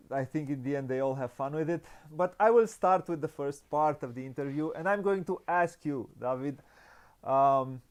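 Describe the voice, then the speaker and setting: A male host conducts an unscripted interview in a smooth, fairly high voice. He takes his time, halting often and restarting words as he feels his way through each sentence. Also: darkish tone. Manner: halting, hesitant, many stammered restarts. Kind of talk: unscripted board game interview. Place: online video call interview.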